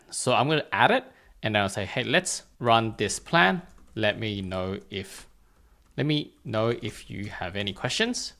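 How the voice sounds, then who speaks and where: A man's voice: fairly low pitch, fairly bright tone. A man hosting an instructional screencast, explaining things step by step in a plain, informal way. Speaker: tutorial host.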